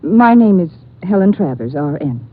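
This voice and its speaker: A woman's voice: lowish voice, big pitch swings, normal speed. A woman playing a playfully stern young nurse in a 1950s radio drama. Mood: teasing. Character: teasing, no-nonsense young female nurse. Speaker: registered nurse. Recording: tinny old radio recording.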